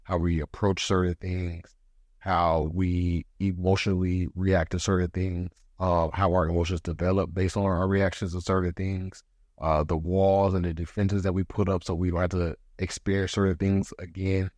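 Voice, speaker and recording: A man in his thirties hosting a solo podcast, talking reflectively with pauses, his voice a bit congested from allergies. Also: low-pitched, level tone, a little mellow, no room sound. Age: 30s. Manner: reflective, pauses to gather thoughts. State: congested from allergies, voice deeper than usual. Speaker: podcast host. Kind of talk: casual solo podcast monologue.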